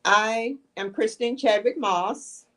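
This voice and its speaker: An older woman, speaking as an author guest. She tells her story in a soft, unhurried way, using a handheld mic. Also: a little high, rough recording. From Texas. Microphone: handheld microphone.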